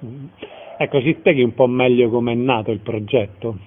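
Radio host guy asking questions, mid-pitched voice, sounds thin like a phone line. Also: fairly even intonation, moderate pace. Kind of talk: radio interview.

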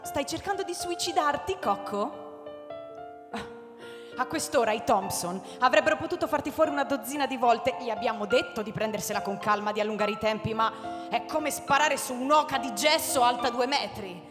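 A woman's voice: a little high, moderate pace, big-room sound, natural voice. An actress playing a tough, irritated young TV network woman in a radio drama, blunt, scolding and sarcastic. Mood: scornful. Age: young adult. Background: music, clearly heard.

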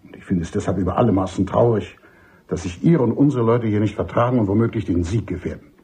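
A man playing an older army major and doctor in a radio drama, husky, emphatic and cynical, courteous but dismissive. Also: lowish voice, fast, mellow. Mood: stern, bitter. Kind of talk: German radio drama acting.

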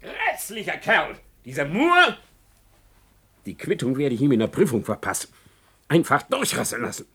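An actor playing a spiteful, scheming academic in a German radio play, haughty, biting and emphatic. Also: male voice, on the high side, huge pitch swings, normal speed, pushed and bright, slightly rough, heavily acted. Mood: indignant, spiteful. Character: spiteful, scheming male academic, arrogant and vindictive.

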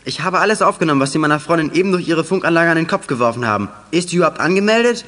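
A man's voice: on the high side, animated, rapid-fire, a bit bright. An actor playing a cheeky, precise teenage boy detective who reports wordily in a children's audio drama. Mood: confident, accusing. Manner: wordy, mock-formal reporting. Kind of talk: 1980s German children's audio drama acting.

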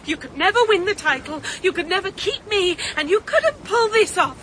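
A cold, taunting ex-wife in a radio play, her voice very high, bright and ringing. She speaks with cruel, unhurried calm, with a faint hiss of noise behind. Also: full character voice, rough recording. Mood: cold, mocking. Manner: icy, mocking, cruelly calm. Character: wronged ex-wife turning the tables on her husband. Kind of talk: radio drama acting.

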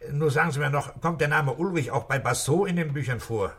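An actor playing a terse, shrewd, commanding police inspector in a 1960s German radio play. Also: male voice, fairly high pitch, fairly quick, fairly bright tone, slightly rough. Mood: businesslike, probing. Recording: noisy old radio play recording.

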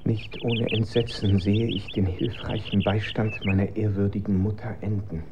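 A man's voice: fairly low pitch, flat delivery, moderate pace, close to an everyday voice. An actor playing a timid, dreamy young emperor in a German radio drama, formal, hesitant and pleading as he defers to his mother. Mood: fearful, pleading. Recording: dull recording with clear noise.

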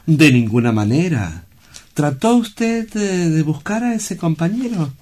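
A man's voice: fairly high pitch, big pitch swings, darkish tone. A man playing a kindly, paternal sanatorium director in an audio drama, speaking formally and courteously to reassure. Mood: reassuring. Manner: formal, courteous, measured phrasing. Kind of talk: theatrical audio drama acting.

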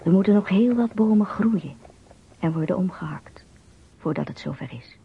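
An actor playing the emphatic storyteller of a radio drama folk tale, delivering scripted narration with dramatic pauses. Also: male voice, sits high, lively intonation. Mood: wry. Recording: dull recording with clear noise.

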